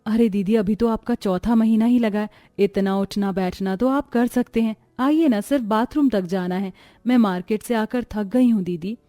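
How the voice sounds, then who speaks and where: A woman voicing a dutiful young pregnant daughter-in-law in a cartoon story, patient, soft-spoken and deferential. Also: medium pitch, moderate pace, close to an everyday voice. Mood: weary, worried. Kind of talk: acted Hindi cartoon story dialogue.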